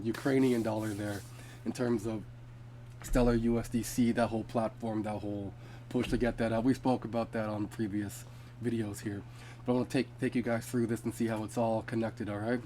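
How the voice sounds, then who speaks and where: A man's voice: on the low side, warm tone, a little hiss or hum. A man who sounds congested from being sick, hosting a casual crypto video and reading from web pages with fillers and restarts. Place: home.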